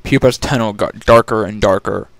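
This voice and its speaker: A man's voice: fairly low pitch, moderate pace, vocal fry, dry recording. A man narrating a fantasy story as a dramatic reading.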